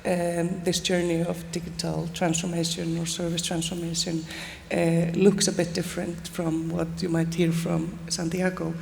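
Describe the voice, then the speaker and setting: Gravelly low woman from Iceland. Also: monotone, moderate pace, few pauses, darkish tone, slight background noise. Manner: hesitant, many fillers. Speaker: panelist, city official. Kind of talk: conference panel talk. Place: large conference stage. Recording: long reverb, faint ambience.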